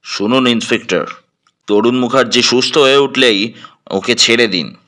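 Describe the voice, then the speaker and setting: A man's voice: medium pitch, moderate pace, sharp tone, close to an everyday voice. A man playing an authoritative senior police officer giving formal orders in an audio drama. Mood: commanding. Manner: commanding, formal.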